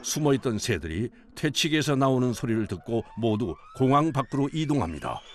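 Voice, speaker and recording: A man narrating a documentary in a calm, scripted and informative way. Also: medium pitch, brisk. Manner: calm, even informative delivery.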